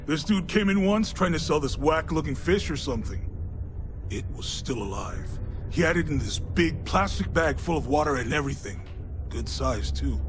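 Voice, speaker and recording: A man playing a young store clerk in a sci-fi game, slightly high in pitch. He is slangy, easygoing and eager, and music plays clearly behind him. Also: lightly acted. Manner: slangy, laid-back, eager. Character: easygoing, chatty young male space-shop clerk. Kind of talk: video game dialogue.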